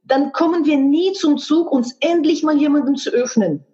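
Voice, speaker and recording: A woman with a fairly high voice talks frankly and emphatically, sometimes restarting as she hunts for words in a language that is not her first. The audio is dull and muffled. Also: moderate pace, dark tone. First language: not German. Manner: frank, emphatic, with earthy expletives. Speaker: guest, sex educator and hypnotherapist. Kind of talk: candid podcast conversation.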